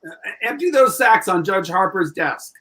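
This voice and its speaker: A man's voice: sits high, very animated, normal speed, a bit bright. A man playing an earnest, persistent defense lawyer arguing in court in a 1940s-style radio play. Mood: commanding.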